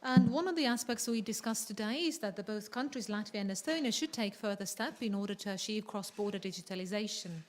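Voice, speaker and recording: A woman moderating and interpreting at a press conference, rendering statements smoothly into English. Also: medium pitch, expressive, fast talker, few pauses, slight background noise. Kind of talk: consecutive interpreting.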